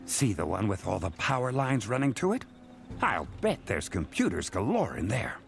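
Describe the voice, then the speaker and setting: A man voicing a cocky, bossy crocodile who leads a cartoon detective team, with a gravelly voice. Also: on the low side, huge pitch swings, moderate pace, full character voice. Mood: bossy planning. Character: brash male crocodile boss of a detective team. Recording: music behind the voice.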